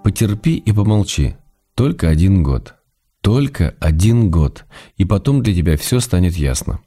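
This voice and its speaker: An actor playing a fond, gently chiding middle-aged writer, reading intimate letters to his wife in measured tones for a radio play. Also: low-pitched.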